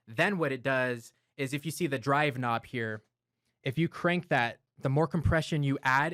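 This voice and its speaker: A man of medium pitch walks through studio gear in a relaxed, step-by-step way, with the odd filler word. He talks close into a broadcast mic in a home studio, and the sound is dry with no room echo. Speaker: audio gear reviewer. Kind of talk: explanatory gear walkthrough. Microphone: close broadcast dynamic mic.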